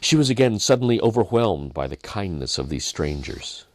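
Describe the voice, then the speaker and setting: A man narrates a Christmas story in a very low voice that rises and falls with great variety. He tells it dramatically, pausing deliberately between phrases. The sound is dry, with hardly any room to it. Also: big pitch swings, dry recording. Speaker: author reading his own story.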